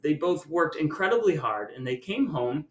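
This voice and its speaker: A man's voice: on the high side, sing-song, moderate pace. A middle-aged American man from small-town Ohio, reminiscing as a podcast host in an effusive, descriptive storytelling way. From small-town Ohio, United States. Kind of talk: reminiscing conversation. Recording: echoey room sound.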